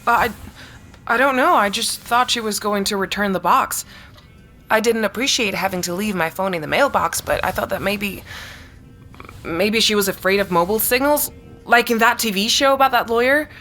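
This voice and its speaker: A woman's voice: medium pitch, normal speed, bright, forceful tone, faint music behind, natural voice. An actress playing an earnest young woman who explains her suspicions insistently, in naturalistic audio drama dialogue. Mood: softer, hesitant. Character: earnest young woman convinced of a tech conspiracy.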